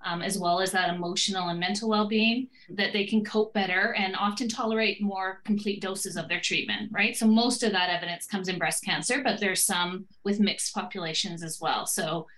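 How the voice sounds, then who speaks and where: A woman's voice: mid-range voice, steady tone, normal speed, hardly any pauses, fairly bright tone, slight background noise, rough recording. A woman, a professor speaking as a guest expert, explaining fluently and confidently in an interview.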